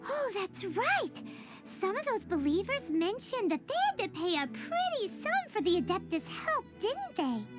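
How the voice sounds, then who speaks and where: A woman voicing a tiny, excitable, childlike fairy companion in a video game, with a squeaky, blunt way of talking. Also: a little slow, loud music behind the voice, full character voice. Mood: doubtful. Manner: squeaky, blunt, refers to herself by name. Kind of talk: cartoonish video game voice acting.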